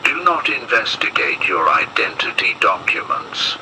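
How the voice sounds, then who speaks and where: Male actor as grave emergency announcer, very low voice, solemn analog horror delivery, swooping intonation, noisy broadcast tape. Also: big pitch swings, bright, forceful tone, heavily acted. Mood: urgent warning. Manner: solemn, ominous, deliberate.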